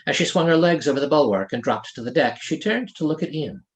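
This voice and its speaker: A man narrates a fantasy story as its author. His voice is a little high for a man and moves widely in pitch, giving the scripted prose an expressive shape. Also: fast. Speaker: author reading his own novel.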